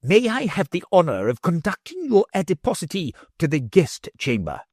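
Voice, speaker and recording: A man's voice: high voice, huge pitch swings, normal speed, darkish tone, dry recording, studio quality, voice pushed up, heavily acted. A man doing a sly soothing official. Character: smooth crafty middle-aged male official. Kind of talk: audiobook character reading.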